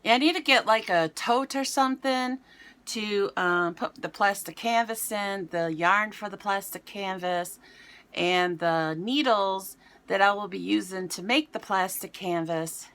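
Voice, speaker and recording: Chatty woman with a raspy voice. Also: medium pitch, a little slow, a bit bright. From United States. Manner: chatty, rambling, self-mocking. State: raspy voice today. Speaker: vlogger. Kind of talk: casual chat to camera. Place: home, indoors.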